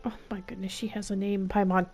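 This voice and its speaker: A woman's voice: medium pitch, level tone, fast talker, darkish tone. A woman doing casual, playful commentary as she streams a game, talking back to the characters. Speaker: gameplay streamer. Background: game music.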